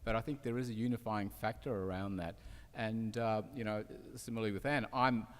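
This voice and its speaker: A man's voice: lowish voice, steady tone, brisk. A man, speaking earnestly as a panelist in an echoey hall. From Australia, part of childhood in Turkey. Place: large indoor event hall. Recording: echoey hall public address sound.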